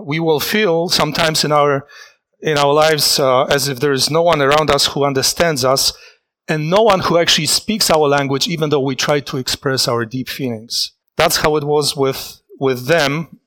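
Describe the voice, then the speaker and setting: Man, preacher, sermon, earnest, reflective, halting, slightly high voice. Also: fairly bright tone, low-quality recording. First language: Polish.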